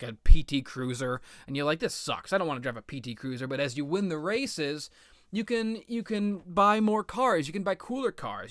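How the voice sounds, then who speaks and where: Bright, clear-voiced man rambling about games. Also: on the high side, no echo, studio quality. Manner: self-deprecating, melodramatic, sarcastic, swearing. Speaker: video game commentator, series host. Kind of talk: rambling comedic game commentary.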